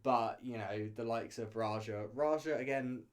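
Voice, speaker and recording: A man with a mid-pitched voice gives his opinions as a reviewer in a casual, chatty commentary. He talks loosely and hesitantly, often hedging and breaking off to rephrase as he goes. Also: normal speed. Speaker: reality show reviewer.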